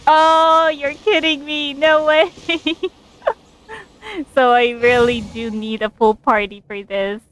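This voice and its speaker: A woman's voice: sits high, level tone, slow, fairly bright tone. A woman giving casual, amused commentary as she streams a game, musing aloud in a hesitant way.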